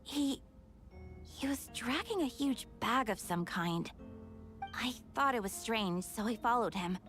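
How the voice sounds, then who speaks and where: A woman's voice: fairly high pitch, expressive, a little slow, fairly bright tone, faint music behind. An actress playing an anxious, earnest young woman testifying in a video game courtroom, hesitant and pleading. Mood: nervous, hesitant.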